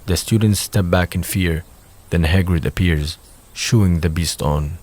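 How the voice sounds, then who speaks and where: A man narrating a scripted audio drama in a measured, descriptive manner. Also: deep voice, a little mellow.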